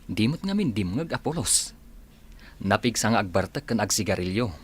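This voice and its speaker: A man's voice: fairly low pitch, big pitch swings, normal speed, breathy edge. A man acting a blunt, easygoing village worker in a radio drama, speaking with an Ilocano accent. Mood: chatty, easygoing.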